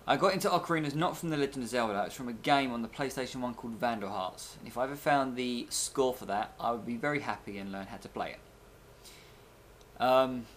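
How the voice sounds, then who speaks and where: A man's voice: mid-range voice, brisk, fairly bright tone, slight background noise. A young man chatting casually and rambling in a video blog, recorded on a webcam microphone in a bedroom. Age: young adult. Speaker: video blogger. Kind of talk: casual rambling vlog chat. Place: small bedroom, indoors. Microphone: webcam at desk, arm's length.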